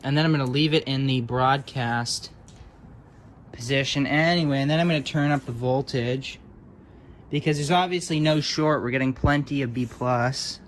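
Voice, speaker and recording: A man's voice: medium pitch, moderate pace, a little hiss or hum. A man giving casual, hands-on commentary as a hobbyist electronics repairer, offhand and muttering as if to himself.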